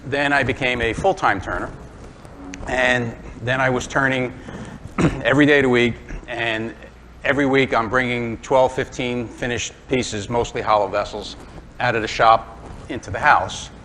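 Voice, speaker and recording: A man's voice: medium pitch, fairly even intonation, normal speed, sharp tone, slight background noise, low-quality recording. A man in his sixties from Brooklyn with a New York accent, giving a chatty, self-deprecating woodturning demonstration talk to a club audience, full of ums and uhs. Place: workshop or club room with an audience.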